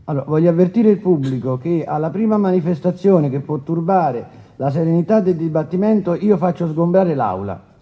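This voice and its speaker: Stern, high-pitched presiding judge, played by a man. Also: animated, lo-fi sound, lightly acted. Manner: formal and officious, with halting hesitations. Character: presiding judge, stern, formal, testy and bureaucratic. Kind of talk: courtroom radio drama acting.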